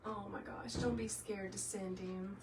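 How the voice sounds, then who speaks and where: A woman's voice: mid-range voice, fairly even intonation, unhurried. Roomy, distant Southern US woman chatting live. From South Carolina, US. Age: middle-aged. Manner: folksy, chatty, addresses viewers by name. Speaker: craft tutorial livestream host. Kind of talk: casual live chat with viewers. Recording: roomy, distant mic, faint noise.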